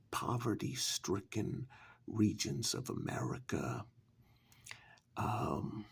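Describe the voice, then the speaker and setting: A man playing a smug, sinister get-rich-quick pitchman in a soft, husky, halting ASMR parody infomercial. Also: exaggerated voice. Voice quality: husky, breathy, gravelly. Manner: halting, smug, casually menacing, sweary.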